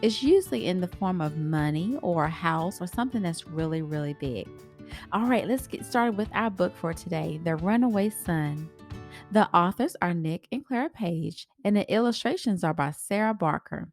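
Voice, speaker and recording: Animated woman reading to kids, music behind. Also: on the low side, moderate pace. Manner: teacherly, explains words and addresses young listeners. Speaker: children's read-aloud host and storyteller. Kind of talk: expressive storybook read-aloud for kids. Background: background music.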